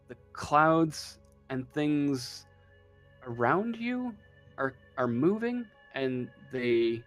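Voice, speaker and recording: A man running a tabletop game as game master, improvising the narration haltingly as he thinks aloud. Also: medium pitch.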